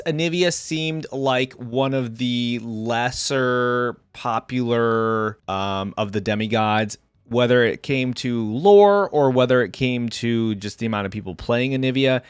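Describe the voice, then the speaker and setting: A man's voice: mid-range voice, clean tone, no room sound, studio quality. A man giving casual reaction commentary as the host of an online video, talking informally to his viewers.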